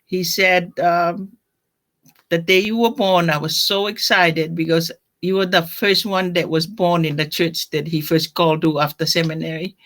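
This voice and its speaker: An older woman from Tonga with a Tongan accent, telling her personal story in an interview in a reflective, halting way. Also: on the low side, fairly even intonation, a bit bright. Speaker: deacon, interview guest.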